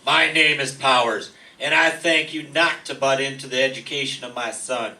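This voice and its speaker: A man playing an angry, indignant father and neighbor in a gruff, confrontational way in a radio comedy play. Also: medium pitch, steady tone, moderate pace, bright, forceful tone. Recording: echoey room, distant mic.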